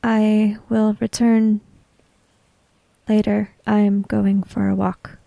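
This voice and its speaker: A woman voicing a withdrawn, stubborn samurai-era woman in a tabletop game, speaking tersely and with deliberate care. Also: mid-range voice, level tone, vocal fry, warm tone, no room sound, rough recording. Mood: guarded, quiet.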